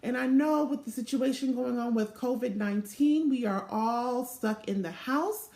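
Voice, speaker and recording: A middle-aged woman reading a children's storybook aloud in a deliberate, expressive way, recorded in a small room. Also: a little high, gravelly. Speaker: volunteer storybook reader. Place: small indoor room or home office. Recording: roomy, echoing home webcam recording.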